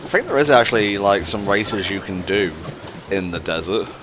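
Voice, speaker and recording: Man, very low voice, easygoing and teasing, faint hiss in the background. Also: lively intonation, moderate pace. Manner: easygoing, teasing, talks to himself as he plays. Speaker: player. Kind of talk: casual let's-play chat.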